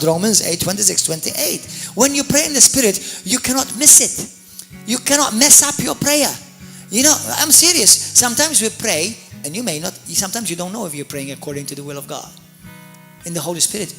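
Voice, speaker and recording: Bright high-voiced pastor preaching. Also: male voice, creaky. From Malta. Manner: animated storyteller, repeats and restarts words, addresses the congregation directly. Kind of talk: sermon.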